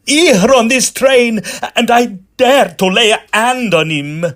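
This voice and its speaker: A woman's voice: on the high side, slow, fairly bright tone. A woman playing a formal, earnest interpreter in a period audio drama aboard a train. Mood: formal, awed.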